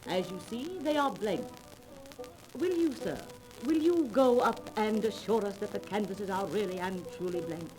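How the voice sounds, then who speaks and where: An actress playing a commanding, mysterious fake spirit medium, grandiose and solemn, in a 1930s radio drama recording. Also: female voice, medium pitch, expressive, normal speed, music in the background, natural voice, low-quality recording. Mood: solemn, ceremonious.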